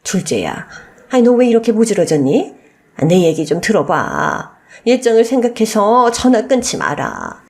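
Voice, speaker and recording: A woman voicing a shameless, scornful old mother-in-law who wheedles and pleads with emphatic, drawn-out words in a radio story. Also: medium pitch, big pitch swings, darkish tone. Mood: desperate pleading. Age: older.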